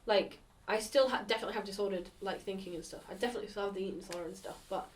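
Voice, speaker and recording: A woman's voice: mid-range voice, rapid-fire, recorded from a distance, a little hiss or hum. A woman speaking candidly in an interview, rambling and filling her sentences with "like". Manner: rambling, filled with "like".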